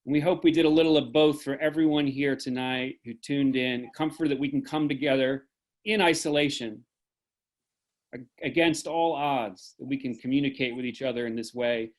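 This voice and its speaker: A man speaking as host of an online event, giving earnest, deliberate closing remarks on a video call. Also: medium pitch, fairly even intonation. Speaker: host and moderator.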